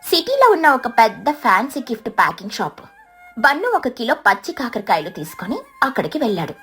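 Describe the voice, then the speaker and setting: A woman's voice: fairly high pitch, very animated, brisk, sharp tone, husky, soft background music. A woman narrating a scripted children's story.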